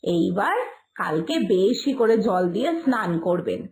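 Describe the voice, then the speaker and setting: A woman's voice: mid-range voice, lively intonation, unhurried, a little mellow, a little hiss or hum. A woman voicing a caring, dutiful young daughter-in-law in a village cartoon story, soothing and coaxing. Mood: gentle, coaxing.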